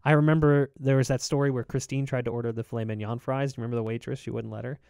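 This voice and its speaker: A man in his thirties, a podcast host, telling animated stories over a video call with lots of filler words. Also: medium pitch, very fast, gravelly, clean tone, dry recording, clean studio sound. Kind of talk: casual chat, storytelling. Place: home room, indoors. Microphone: desk mic on video call, headphones.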